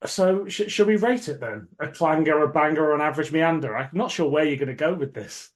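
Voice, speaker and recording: A man's voice: fairly high pitch, animated, a little fast. A man speaking as the host of a casual podcast, chatting and reviewing.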